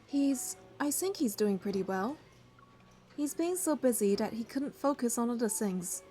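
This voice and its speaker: An earnest young heroine, higher-pitched. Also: female voice, animated, unhurried, warm tone, faint music behind. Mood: curious. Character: young female heroine, earnest, caring and curious. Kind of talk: first-person narration and dialogue in a romance game.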